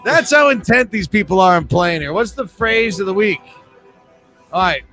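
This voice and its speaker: A man's voice: sits high, expressive, moderate pace, sharp tone, faint music behind. A man hosting a live trivia game, joking with players and rambling through sponsor reads.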